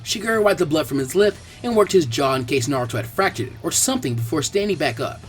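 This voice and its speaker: A man's voice: a little high, animated, fast talker, soft background music. A man narrating fan fiction as a scripted story reading.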